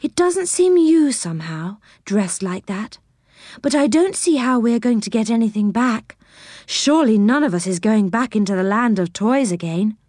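Brief: woman as a kind, gentle storybook fairy, close dry read. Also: on the high side, animated, normal speed, dry recording, pitched above the performer's own voice.

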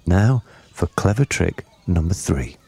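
A man voicing an enormous greedy crocodile in a storybook, scheming and muttering to himself with slow relish. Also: low voice, expressive, vocal fry, mellow, breathy edge, full character voice. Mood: sly, greedy.

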